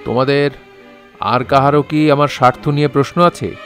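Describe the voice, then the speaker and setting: A man playing a revered storyteller-author, measured and pompous, narrating a literary audio drama in formal style. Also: mid-range voice, steady tone, over music, lightly acted. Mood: imperious. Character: lofty storyteller-author revered as master, imperious and stern.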